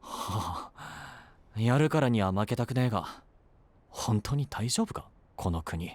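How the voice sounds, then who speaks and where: An actor playing a brash, sceptical young would-be knight with a blunt, rough manner in an anime voice drama. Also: male voice, lowish voice, expressive, slow, darkish tone, no echo. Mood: sceptical, competitive.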